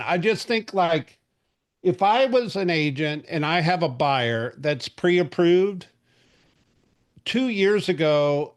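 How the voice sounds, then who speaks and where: A man in his forties hosting a real estate industry call, talking casually with lots of fillers and restarts. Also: fairly high pitch. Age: 40s. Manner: chatty, rambling, frequent fillers and restarts. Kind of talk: casual market update briefing.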